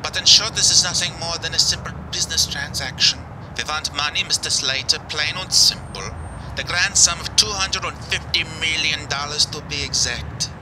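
A man's voice: fairly high pitch, moderate pace, close to an everyday voice. A man playing an arrogant terrorist leader in a radio play, speaking in a pompous, formal, commanding way. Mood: cold, pompous. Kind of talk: radio play villain acting. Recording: noisy background of traffic ambience.

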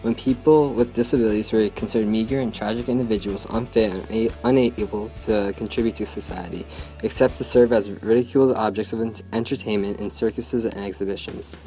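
A man's voice: lowish voice, fairly even intonation, brisk, warm tone. A young man with an American accent reads a scripted documentary narration as a student narrator. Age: young adult. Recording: voice-over with music bed. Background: music.

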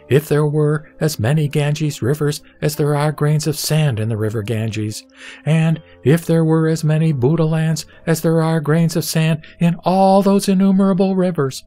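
A man playing the Buddha as a serene teacher, reciting scripture in a measured, didactic, emphatic way. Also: fairly high pitch, mellow, soft background music, close to an everyday voice. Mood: questioning, instructive. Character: the Buddha, serene male spiritual teacher instructing a disciple. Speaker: sutra audio drama reader.

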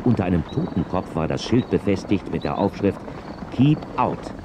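A man's voice: low-pitched, big pitch swings, normal speed. An actor playing a man who recounts his own Western adventure as scripted radio play narration. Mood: suspenseful. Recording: noisy outdoor sound-effect backdrop.

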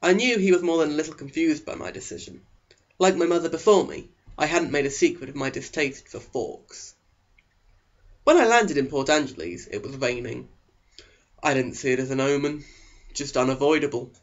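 A fairly high-voiced man reads a novel aloud as scripted narration. His delivery is sarcastic and melodramatic, and the recording sounds slightly dull. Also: normal speed. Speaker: audiobook reader.

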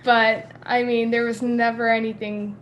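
A woman in her twenties on a video call from a bedroom, speaking earnestly and measured as a panelist. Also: on the high side, level tone, lo-fi sound, slight background noise. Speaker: panelist, young musician. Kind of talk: casual panel talk. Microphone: webcam on a video call.

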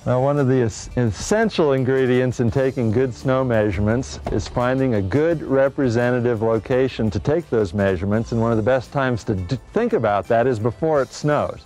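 A man narrating an instructional film in a measured, explanatory way. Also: medium pitch, a little mellow, soft background music.

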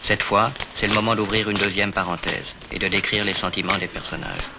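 A man reading a film's voice-over narration in a calm, even, literary manner. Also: on the low side, fairly quick. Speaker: film narrator. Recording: loud hiss of an old film soundtrack.

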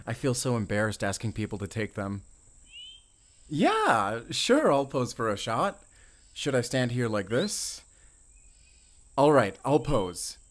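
A man playing a friendly, talkative, excitable young forest ranger with an American accent in a roleplay audio drama. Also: mid-range voice, slow pace, pauses often. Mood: shy, playful. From Arizona, US. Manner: talkative, eager, rambling, with small chuckles.

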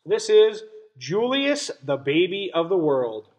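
Young man, slightly high voice, teacher reading aloud to kids, simple explanations, stressed key words. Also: big pitch swings, slow pace, darkish tone. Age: young adult. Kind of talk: read-aloud lesson for young children. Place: small indoor room at home. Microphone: webcam or laptop mic at arm's length.

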